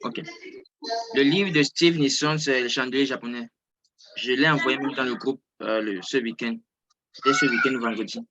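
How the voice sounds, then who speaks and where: A man's voice: mid-range voice, fairly even intonation, moderate pace, bright, forceful tone, dull audio. A man who is not a native French speaker, giving an informal live online lesson as a trading instructor, often repeating and rephrasing his words for emphasis. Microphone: close mic on an online video call.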